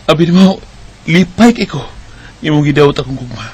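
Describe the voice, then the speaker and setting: An actor playing a lovestruck young suitor in a romantic radio drama, with a Filipino Bisaya accent. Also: male voice, fairly high pitch, lively intonation, a little fast, darkish tone, breathy edge. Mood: elated, tender.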